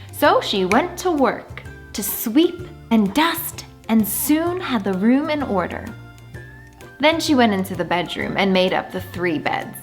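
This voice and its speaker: A woman reading a children's storybook aloud in an animated, deliberate storytelling manner, drawing out words for emphasis. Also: fairly high pitch. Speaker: children's story reader and channel host.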